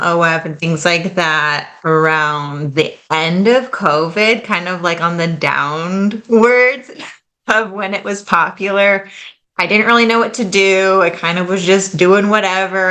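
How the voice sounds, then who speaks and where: A woman in her late twenties chatting as a podcast guest, her voice slightly low-pitched yet very bright and forward. She talks loosely and casually, scattering "like" and "kind of" through her sentences. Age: late 20s. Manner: chatty, fillers like "like" and "kind of", laughs easily. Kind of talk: casual interview chat.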